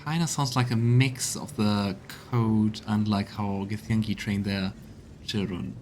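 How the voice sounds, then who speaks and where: A man's voice: lowish voice, fairly even intonation, unhurried, a little mellow, a little hiss or hum. A man giving casual, reactive commentary as he plays through a fantasy video game on stream. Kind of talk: voiced let's play commentary, casual reactions mixed with reading dialogue.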